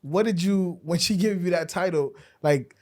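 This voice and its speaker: A man hosting a casual interview, putting his questions together in halting pieces. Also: high voice, darkish tone. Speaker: podcast host, interviewer.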